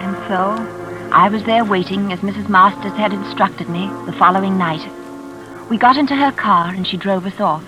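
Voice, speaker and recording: A woman's voice: mid-range voice, moderate pace, natural voice, low-quality recording. An actress playing a polite, trusting young woman with earnest, careful diction in 1940s radio drama style. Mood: recounting. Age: young adult. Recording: vintage radio recording with music behind.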